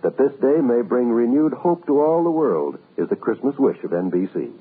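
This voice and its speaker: A man's voice: medium pitch, animated, moderate pace. A man with an American accent making a solemn, stately network announcement in formal 1940s radio style. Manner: solemn, stately, rounded phrasing. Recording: narrow, rough old-time radio recording.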